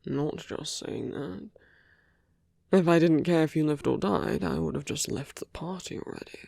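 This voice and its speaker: The silky villain of a romantic audio drama, acted by a man, murmuring taunts and flirtations right at a rival's ear, husky, soft and dry. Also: fairly high pitch, normal speed, dry recording. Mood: earnest, teasing. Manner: soft, breathy, often dropping to a whisper. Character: suave, teasing male villain, smitten with his heroic enemy. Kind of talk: ASMR roleplay acting.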